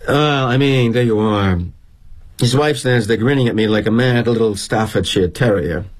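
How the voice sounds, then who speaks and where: An actor playing a weary, sardonic middle-aged corporate executive, speaking in a wry, confiding monologue. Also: male voice, medium pitch, moderate pace, lightly acted. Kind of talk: theatrical monologue.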